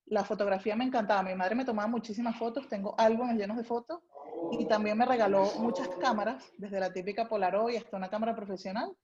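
A woman's voice: mid-range voice, fairly even intonation, quick pace, a little mellow, muffled recording. A young adult woman from Venezuela with a Venezuelan Spanish accent, chatting as a guest in an interview about her life. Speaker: guest, food writer. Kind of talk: casual interview chat.

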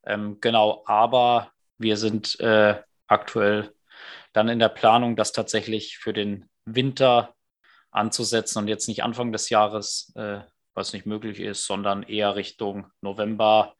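A man's voice: fairly low pitch, flat delivery, a little slow. A man co-hosting a casual podcast, explaining rules with frequent fillers. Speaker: podcast co-host, tournament organizer.

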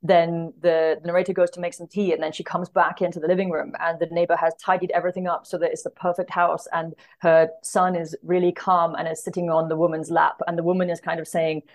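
Low-pitched woman with a creaky voice. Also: level tone, fast talker, hardly any pauses. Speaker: podcast guest, literary translator. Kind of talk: casual book discussion.

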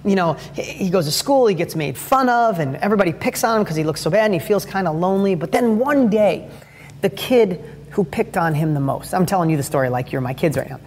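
A man with a high-pitched voice gives a motivational talk as a father and host, telling stories energetically and rambling with restarts. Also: lively intonation, fairly quick, darkish tone. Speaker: host and father giving advice.

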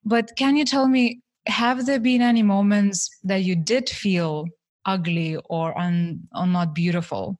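A woman hosting a podcast, asking her guest questions in a warm, encouraging way. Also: mid-range voice, steady tone, a little slow, no room sound, clean studio sound. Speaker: podcast host. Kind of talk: interview questions.

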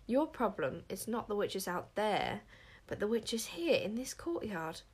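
A woman's voice: very animated, unhurried, husky. A woman voicing a pompous, fanatical male witch-hunter in a storybook, condescending and snappish. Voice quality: high, squeaky when hissing.

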